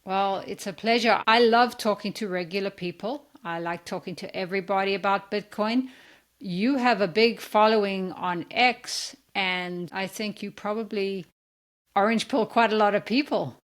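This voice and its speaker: A woman hosting a podcast, welcoming her guest and asking steady questions. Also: mid-range voice, normal speed. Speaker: podcast host. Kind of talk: podcast interview.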